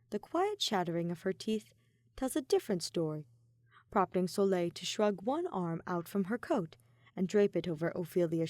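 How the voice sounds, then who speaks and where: A woman's voice: on the low side, darkish tone, no room sound, studio quality. A woman reading a scripted story aloud as an audiobook narrator. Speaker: audiobook narrator of fan fiction.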